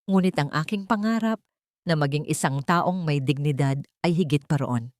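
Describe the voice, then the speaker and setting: A woman's voice: sits low, normal speed, darkish tone, no room sound, clean studio sound. A woman narrating a scripted first-person story for an audio drama.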